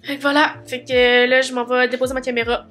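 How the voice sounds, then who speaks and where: A woman's voice: high voice, flat delivery, fairly quick, a bit bright, faint music behind. Chatty Québécois woman with a cold. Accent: Québécois French. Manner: chatty, many fillers like "fait que" and "tu sais". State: congested from a cold. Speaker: vlogger. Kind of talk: casual vlog diary.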